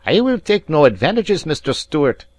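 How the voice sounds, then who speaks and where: Brief: man putting on a high, needling rival, mock-polite, storybook reading. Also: big pitch swings, normal speed, darkish tone, no echo. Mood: courteous. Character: rival Highland piper man, mocking and cool.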